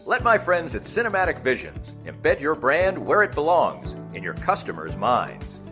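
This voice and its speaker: Animated higher-pitched male ad announcer over music. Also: normal speed. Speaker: advertisement announcer. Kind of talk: scripted promotional narration. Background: music bed.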